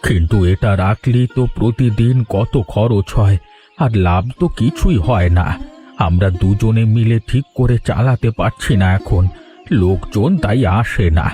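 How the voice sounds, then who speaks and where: A man's voice: on the low side, unhurried, dark tone, soft background music, natural voice. A man voicing a frail, resigned old village eatery keeper in a cartoon, with a plaintive manner. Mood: sad, worried. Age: older. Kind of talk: cartoon voice acting.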